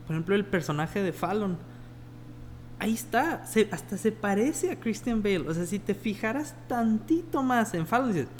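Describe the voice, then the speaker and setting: Man, podcast co-host, a bit high-pitched, analytical, faint hum underneath. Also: lively intonation, normal speed. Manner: reflective, analytical, gives soft backchannel replies. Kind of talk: casual film discussion.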